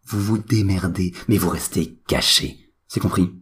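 A man's voice: rumbling low, moderate pace. A man playing an anxious, exasperated professor, agitated, bossy and scolding, in a comic audio story. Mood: angry, ordering. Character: anxious, exasperated male professor, easily angered.

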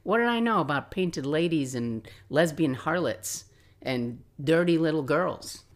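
A woman in her sixties narrating personal memories as a podcast host, reflective and expressive with wry asides. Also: sits low. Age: 60s. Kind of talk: personal memoir storytelling, scripted narration.

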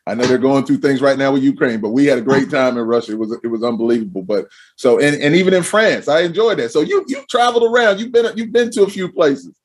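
Husky-voiced hype man hosting a show. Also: medium pitch, fast. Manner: repeats his phrases for emphasis, energetic. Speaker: podcast host. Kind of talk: hyped-up show introduction and casual interview.

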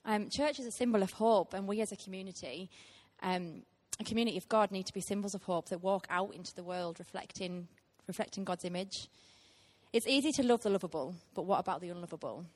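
A woman's voice: mid-range voice, a little fast. A woman, preaching a heartfelt sermon to a church with frequent ums. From Teesside, England. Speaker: guest preacher.

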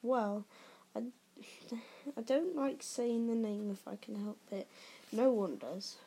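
A woman voicing a gruff, kindly giant man from a storybook, speaking in halting, rustic turns of phrase. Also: a little high, warm tone, full character voice. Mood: hesitant, uneasy.

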